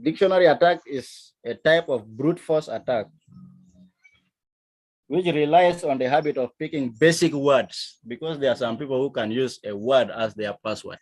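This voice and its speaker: A man from South Sudan with a South Sudanese accent, teaching a lesson in a deliberate, emphatic manner. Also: mid-range voice, rough recording. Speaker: instructor. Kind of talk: digital literacy lesson, explaining to learners.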